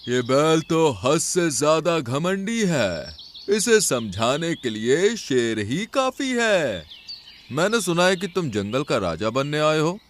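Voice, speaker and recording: A man voicing a wise, patient lion king in an animal cartoon, with a measured, admonishing manner. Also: on the high side, very animated, slight background noise.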